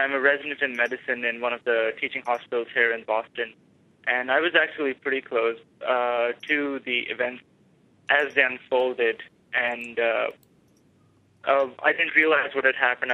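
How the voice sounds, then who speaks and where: A man's voice: medium pitch, dry recording. Young Pakistani man on a phone line. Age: 20s. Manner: halting, many restarts and fillers. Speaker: caller, medical resident. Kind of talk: phone-in radio talk. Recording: tinny dry phone line.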